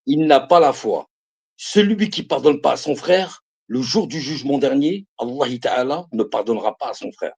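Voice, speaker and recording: Man, 50s, husky, lively pitch; emphatic live-stream preacher who repeats himself. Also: moderate pace. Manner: emphatic, repeats phrases, calls listeners brothers and sisters. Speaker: live streamer giving religious advice.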